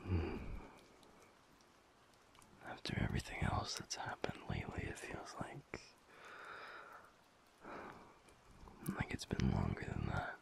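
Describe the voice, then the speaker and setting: A man playing a tender, teasing alpha werewolf boyfriend in an intimate audio roleplay, murmuring softly in a breathy, gravelly whisper with pauses. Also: a bass voice, wildly swooping pitch, full character voice. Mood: tender, wistful. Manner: slow, soft, pausing, murmured.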